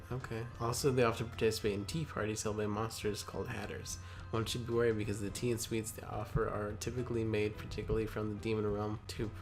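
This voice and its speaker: A young man reading aloud and chatting casually, with skeptical, hesitant asides. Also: fairly low pitch. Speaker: co-host reading a wiki aloud with commentary. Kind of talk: casual chat and read-aloud. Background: faint guitar music.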